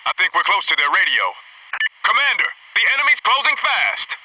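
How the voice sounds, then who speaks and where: A man's voice: sits high, big pitch swings, brisk, distant mic, background noise. A man playing an alert, disciplined Navy SEAL squad soldier in a military video game, giving clipped, businesslike tactical reports. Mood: urgent.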